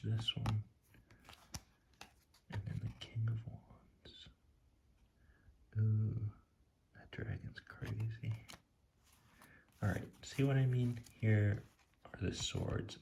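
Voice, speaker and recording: Soft-spoken man with heavy vocal fry. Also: on the low side, a little hiss or hum. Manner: hesitant, musing, drifting into near-whispers. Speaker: tarot deck reviewer. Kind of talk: soft-spoken ASMR, impromptu commentary. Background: soft tapping and clicking.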